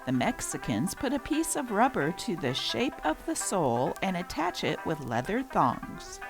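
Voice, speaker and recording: A woman's voice: on the low side, very animated, moderate pace, loud music behind the voice. A woman hosting and reading old newspaper articles aloud in a scripted narration style.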